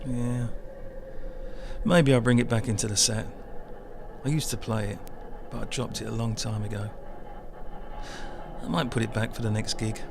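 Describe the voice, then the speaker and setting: An actor playing a disillusioned railway worker and musician of nearly fifty in a comic drama, gravelly and colloquial. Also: male voice, lowish voice, steady tone, moderate pace, pauses often, noisy background. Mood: resigned. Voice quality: creaky, gravelly. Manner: colloquial, world-weary, swears casually. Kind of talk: dramatic dialogue in an audio-led motion comic.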